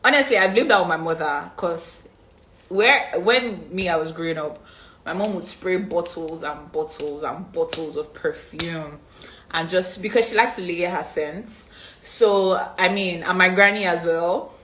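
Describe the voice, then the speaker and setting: A woman in her twenties with a Nigerian accent, reading out fan questions and answering them in a relaxed, rambling way with plenty of ums. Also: on the low side, measured pace, a little hiss or hum, rough recording. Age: 20s. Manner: reads fan questions aloud then answers chattily with many ums. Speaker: reality show contestant answering fan questions. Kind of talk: casual live-stream Q&A. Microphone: phone on a live stream.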